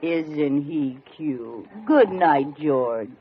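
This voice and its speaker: An American actress playing a playful, scheming, affectionate housewife in an old-time radio comedy, with a coy, theatrical delivery. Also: female voice, sits low, lively intonation, extremely slow, loud music behind the voice, exaggerated voice. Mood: fond. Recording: dull old radio recording, hiss, studio audience.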